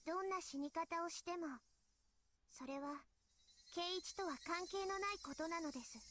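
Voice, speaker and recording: A woman voicing a small, sweet but eerily calm schoolgirl in an anime visual novel, childlike and polite. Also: extremely high pitch, quick pace, slight background noise. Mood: calm, eerie. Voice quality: childlike, cutesy. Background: music, cicadas.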